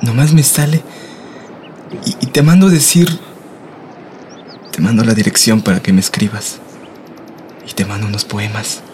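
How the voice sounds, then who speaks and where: A man's voice: fairly low pitch, fairly even intonation, moderate pace, long pauses, darkish tone, a little hiss or hum, lightly acted. An actor playing a tender, reassuring young Mexican migrant saying goodbye to his sweetheart in a radio drama.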